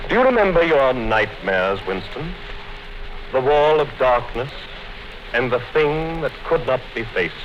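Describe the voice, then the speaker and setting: An actor playing a calm, coldly menacing male interrogator in a 1950s radio drama. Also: mid-range voice, measured pace, lightly acted. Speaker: O'Brien. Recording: dull noisy 1950s radio broadcast.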